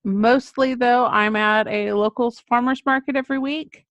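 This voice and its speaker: A woman's voice: on the high side, steady tone, moderate pace, fairly bright tone, dry recording. A middle-aged American woman, a children's book author, talking about her writing as an interview guest. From Texas, United States.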